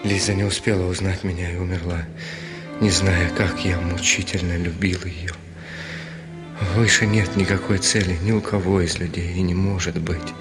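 An actor playing an anguished, proud, nervy gentleman consumed by guilt in a theatrical radio drama. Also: deep voice, level tone, moderate pace, close to an everyday voice. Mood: brooding, remorseful. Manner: theatrical, swinging from brooding confession to outbursts. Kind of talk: Russian radio drama acting. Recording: studio radio play with music behind.

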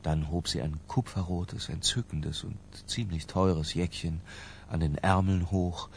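An actor playing an obsessed, cultured middle-aged narrator in a literary radio drama, lyrical and intimate. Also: male voice, deep voice, unhurried, creaky, a little hiss or hum. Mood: entranced, yearning.

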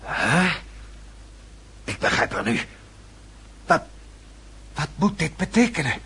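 Raspy, blustering count. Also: male voice, a little high, very animated, slow pace, sharp tone, exaggerated voice. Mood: bewildered. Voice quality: raspy, gravelly. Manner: blustering, sputtering, theatrical. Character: hot-tempered, blustering French count, indignant schemer. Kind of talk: radio drama acting in period costume piece.

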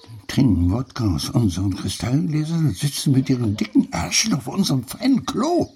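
A man voicing an indignant, grumbling old grandfather with a rough, conspiratorial delivery in a storybook reading. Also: mid-range voice, sing-song, fairly quick, mellow, full character voice. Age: older. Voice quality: husky, rough. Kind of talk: acted audiobook dialogue.

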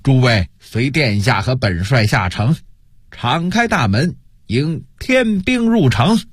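A man puts on a haughty military commander from a historical audiobook. He speechifies with pompous authority and scorn, his husky voice rising and falling widely. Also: mid-range voice, big pitch swings, slow, no echo, studio quality, exaggerated voice. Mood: triumphant command.

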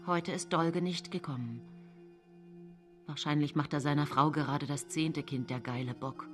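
A woman's voice: deep voice, normal speed, soft background music, lightly acted. An actress playing a bitter, scornful woman poisoner in a German radio play.

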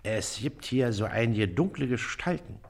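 A man's voice: mid-range voice, lively intonation, normal speed, close to an everyday voice. Man playing a pompous village magistrate, swooping delivery. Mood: suspicious, self-important. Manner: pompous, officious, emphatic. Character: pompous, suspicious Prussian village magistrate, self-assured and blustering. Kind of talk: classic German radio play acting.

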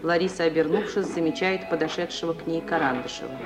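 A woman's voice: low voice, quick pace. A woman reading scripted stage directions as narrator of a radio play. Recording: old radio recording with music.

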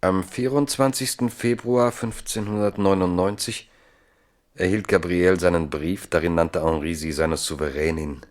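Deep-voiced male radio-play narrator, grave and measured.

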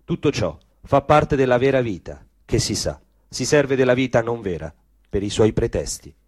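A man narrating literary prose as a stage actor, with dramatic, deliberate phrasing. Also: medium pitch, animated, darkish tone, no echo, clean studio sound.

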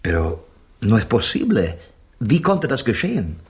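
An actor in a radio drama playing a courteous, curious Spanish gentleman who speaks in polite, ornate phrases and slips into Spanish. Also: mid-range voice, huge pitch swings, moderate pace. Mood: puzzled, polite.

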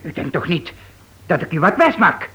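An actor playing a flustered, defensive husband, stammering and protesting in a radio drama. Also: male voice, on the high side, big pitch swings, moderate pace, a bit bright. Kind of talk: Dutch radio play acting. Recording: dull old radio recording.

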